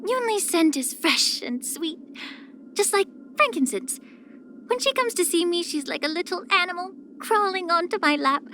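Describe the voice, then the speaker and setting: A woman voicing a poised, soft-spoken, subtly sly young female healer in a video game, with measured, gentle, composed delivery. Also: high voice, slight background noise. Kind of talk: scripted character voice lines.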